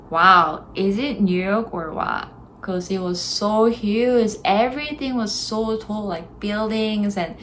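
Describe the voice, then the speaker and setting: A woman's voice: fairly low pitch, fairly bright tone, slight background noise, rough recording. A young Korean woman with a Korean accent, speaking English as a second language in a chatty, earnest vlog to camera. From South Korea. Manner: chatty, earnest, laughs between thoughts. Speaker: vlogger. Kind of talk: casual vlog talk to camera.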